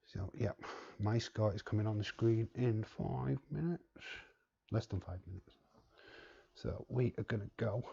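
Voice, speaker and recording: Man host, low husky voice, slow and rambling, live-stream chat feel. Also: a little mellow, lo-fi sound. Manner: rambling, reads chat aloud, chuckles often. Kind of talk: casual live watch-along chat.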